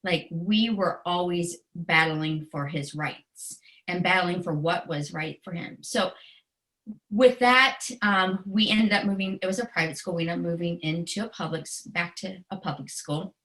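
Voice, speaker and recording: An American woman in middle age speaks with a voice a little lower than most women's. She is earnest, emotionally open and conversational, telling personal anecdotes as an educator and author being interviewed. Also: moderate pace, fairly bright tone, poor audio.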